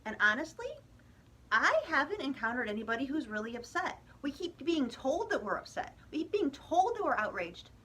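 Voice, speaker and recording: A woman's voice: sits high, sing-song, normal speed, a bit bright. An American woman vlogger giving a casual opinion monologue to viewers, chatty and emphatic with exasperated asides.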